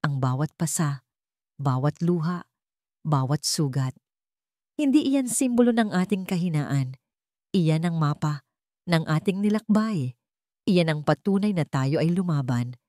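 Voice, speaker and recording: A woman's voice: fairly low pitch, mellow, dry recording, studio quality. Resilient, earnest young heroine read by a woman. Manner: clear, earnest, empowered. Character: resilient pregnant young woman, honest, full of conviction.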